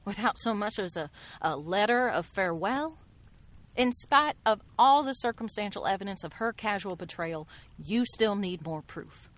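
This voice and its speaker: An actress playing an enigmatic, worldly woman mystic in a radio drama, skeptical and knowing, with deliberate, eloquent, theatrical phrasing. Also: medium pitch, lively intonation, fairly bright tone, lightly acted. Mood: pointed, wry.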